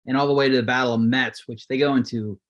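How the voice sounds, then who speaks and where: A man in his late twenties, speaking hesitantly with many pauses, eager about the history he has read up on. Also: medium pitch, fairly even intonation, dull audio. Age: 20s. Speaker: call-in guest. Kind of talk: casual chat over a video call. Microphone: video call.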